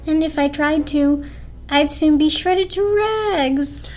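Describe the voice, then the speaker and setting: A plaintive, easily hurt electric blanket played by a woman complains in a high voice. It is a humorless, fretful storybook character, with soft music behind it. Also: fairly even intonation, takes it slowly. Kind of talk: dramatic storybook reading. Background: orchestral film score.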